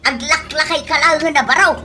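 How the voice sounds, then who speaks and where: Woman playing a shrill, nagging Ilocano mother. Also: normal speed, natural voice. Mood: scolding. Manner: nagging, exclamatory. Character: loud, scolding older Ilocano mother, a market vendor. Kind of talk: comedy radio drama acting.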